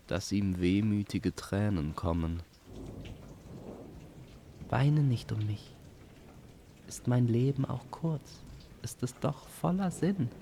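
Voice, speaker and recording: A male storyteller narrates a fantasy audio play in a calm, poetic manner. His voice is low and gravelly, and he takes his time over each phrase. Faint ambient noise sits behind him. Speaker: storyteller and host.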